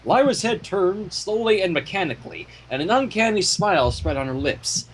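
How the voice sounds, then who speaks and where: A man with a fairly high voice reads a story aloud as a narrator. His delivery swings up and down in an animated way, and he slips into casual commentary. There is faint noise in the recording. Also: moderate pace, low-quality recording. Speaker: fan fiction reader and commentator.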